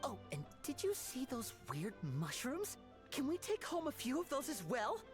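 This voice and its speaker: A woman's voice: high-pitched, wildly swooping pitch, normal speed, loud music behind the voice. A woman voicing a charming, enthusiastic young male stage magician in a fan-dubbed game, theatrical and courteous. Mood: cheerful.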